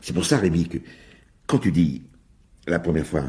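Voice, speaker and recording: An actor playing a bossy, effusive theatre director in a French radio drama, brisk and patronising with endearments. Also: male voice, low-pitched, lively intonation, warm tone. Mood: effusive, instructive.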